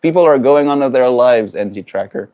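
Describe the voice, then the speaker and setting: A man's voice: mid-range voice, animated, brisk, darkish tone, muffled recording. A man from South Africa hosting a livestream, talking reflectively with his viewers and often tagging his points with questions. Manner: reflective, keeps adding tag questions. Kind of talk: casual livestream chat, answering viewers.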